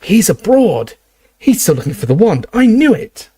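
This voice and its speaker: A man doing an excited, insistent teenage boy. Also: high-pitched, a little fast, pitched above the performer's own voice. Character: eager, obsessive teenage boy.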